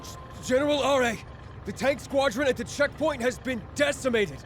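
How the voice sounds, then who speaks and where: A man's voice: very high-pitched, moderate pace, soft background music. A man playing an anxious, obedient army subordinate in a video game, reporting dutifully. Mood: nervous, dutiful.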